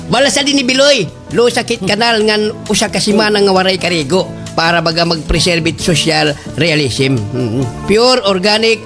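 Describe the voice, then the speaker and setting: A man's voice: high-pitched, moderate pace. A man playing a fussy, fawning village captain in a slapstick radio comedy, stammering and repeating himself, with music underneath. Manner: stammering, repeating words, obsequious. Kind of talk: exaggerated slapstick radio drama acting.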